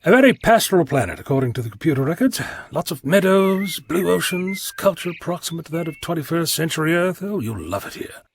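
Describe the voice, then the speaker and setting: An actor playing a breezy, eccentric time-travelling adventurer in an audio drama, with an erudite manner. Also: male voice, on the high side, sing-song, a little fast, hardly any pauses, slight background noise. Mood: cheerful.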